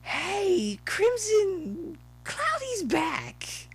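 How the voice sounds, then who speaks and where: A man's voice: very high voice, wildly swooping pitch, voice pushed up, heavily acted. Man putting on a squealing, slurring drunk young mare. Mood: giddy, drunk. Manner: slurred, drawn-out words.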